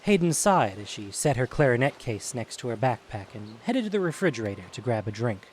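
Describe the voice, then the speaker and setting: A man's voice: medium pitch, very animated, fairly quick. A man narrating an audio drama in a scripted storytelling style. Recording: faint hiss.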